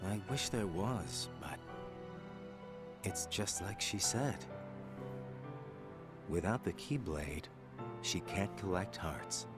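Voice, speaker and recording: Lively, low-voiced wry young guy. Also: slow, pauses often. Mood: resigned. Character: laid-back young man, teasing, protective older friend. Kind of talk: video game cutscene voice acting. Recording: game music behind the voice.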